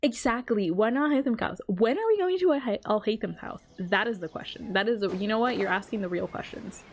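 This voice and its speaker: High-voiced young woman streamer, playful. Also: animated, a little fast. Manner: playful, giggly, slangy. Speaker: game streamer. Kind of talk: casual live reaction commentary. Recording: game music behind the voice.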